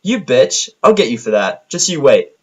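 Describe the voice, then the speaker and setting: A man's voice: mid-range voice, big pitch swings, normal speed, darkish tone, slightly rough, pitched above the performer's own voice. A man voicing an irritable, sarcastic human man in a fantasy audio story, often bitter and blunt. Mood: angry.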